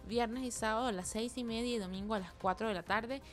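A woman's voice: on the high side, a little fast, soft background music. A woman hosting an interview, friendly and encouraging as she asks her questions. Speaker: host, interviewer. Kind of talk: radio interview.

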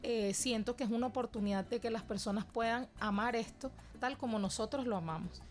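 A woman's voice: a little high, a little fast, soft background music. A Venezuelan woman answering interview questions about her dance classes, earnest and reflective in long flowing sentences. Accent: Venezuelan Spanish. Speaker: dance teacher and cultural guest.

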